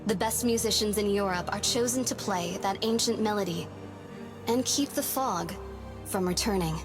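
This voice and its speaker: A woman's voice: medium pitch, normal speed, lightly acted. A woman reading scripted narration of an old legend in a storybook manner for a game. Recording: music behind the voice. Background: music.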